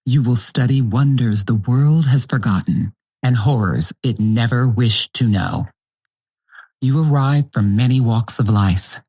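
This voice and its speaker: Husky, slow-speaking stern headmistress. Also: female voice, a bass voice, a little mellow, full character voice. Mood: solemn welcome. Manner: formal, grave, measured. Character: stern, dignified headmistress of an eldritch mage academy. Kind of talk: scripted audio drama monologue.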